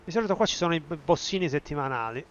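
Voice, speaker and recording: Animated, higher-voiced Italian male game streamer. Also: rapid-fire, muffled recording, a little hiss or hum. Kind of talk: casual let's-play commentary.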